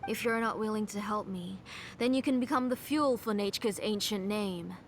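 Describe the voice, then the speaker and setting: A woman voicing a middle-aged, grieving, obsessive mother in a video game, in a soft, hushed and fervent manner. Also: mid-range voice, normal speed, a little hiss or hum, close to an everyday voice.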